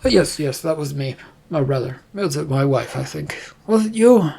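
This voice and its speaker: A narrator as a dithering, husky king. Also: medium pitch, lively intonation, normal speed, dark tone. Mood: befuddled. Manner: dithering, uncertain. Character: bumbling, absent-minded fantasy king, henpecked husband.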